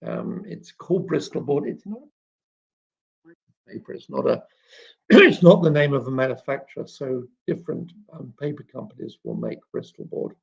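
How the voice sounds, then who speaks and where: Gravelly man in a halting live chat. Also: medium pitch, sing-song. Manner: halting, explanatory, frequent throat clearing. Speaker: children's author and illustrator hosting a live chat. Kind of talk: informal live question-and-answer talk. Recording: dull, muffled lo-fi live stream.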